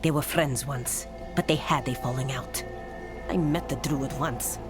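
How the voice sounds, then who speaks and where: A woman playing a blunt, resentful knight commander in a fantasy video game, with a gruff manner. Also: extremely low pitch, measured pace. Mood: bitter, dismissive. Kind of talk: dramatic video game dialogue. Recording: music under the voice.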